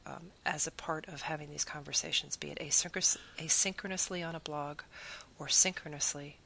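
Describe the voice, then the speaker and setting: Low gravelly woman, earnest teacher. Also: steady tone, brisk, bright, forceful tone, slight background noise. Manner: earnest, builds long lists of phrases. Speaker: Spanish teacher. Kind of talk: reflective talk on teaching.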